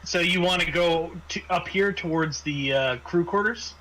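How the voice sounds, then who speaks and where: A man narrating a tabletop roleplaying game as game master, improvising scenes in a halting way as he thinks aloud. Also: high-pitched, pushed and bright, slight background noise, poor audio.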